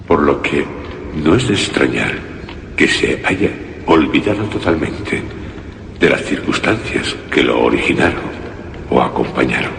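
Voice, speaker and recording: A grave, inquisitive confidant probes in a horror radio play. His gravelly voice moves with theatrical swings inside a reverberant, noisy recording. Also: a bass voice, lively intonation, a little slow, long pauses, heavily acted. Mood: grave curiosity. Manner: short, probing, theatrical questions. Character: inquisitive male listener gravely questioning the tormented narrator.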